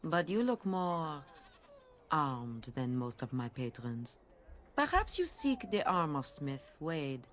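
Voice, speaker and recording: Low-voiced French-accented market saleswoman. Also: sing-song, takes it slowly, slight background noise, exaggerated voice. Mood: helpful, polite. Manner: polite, coaxing saleswoman, tag questions. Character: courteous market flower and perfume seller. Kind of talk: video game character acting.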